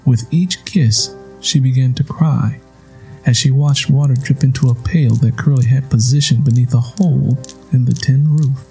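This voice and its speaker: A man reading scripted literary fiction as an audiobook narrator, in a measured, solemn storytelling manner. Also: medium pitch, steady tone, gravelly, dark tone, husky, soft background music, poor audio.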